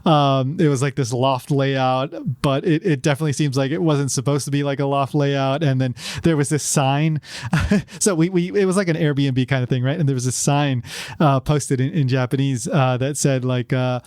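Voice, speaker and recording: A man's voice: medium pitch, fairly even intonation, normal speed, darkish tone, clear, resonant tone, dry recording, clean studio sound. A man hosting a podcast, chatting casually on his own and rambling with lots of fillers and restarts. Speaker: podcast host. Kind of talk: casual solo podcast talk.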